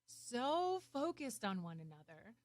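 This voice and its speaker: A woman narrating a children's story in an expressive, deliberate way. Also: on the high side, noisy background. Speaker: storybook reader.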